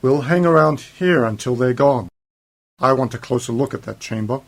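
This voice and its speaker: A man's voice: medium pitch, normal speed, vocal fry. A man playing a mysterious, authoritative time-travelling uncle in an amateur science fiction radio play, pondering aloud with slightly theatrical emphasis. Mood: curious, wary.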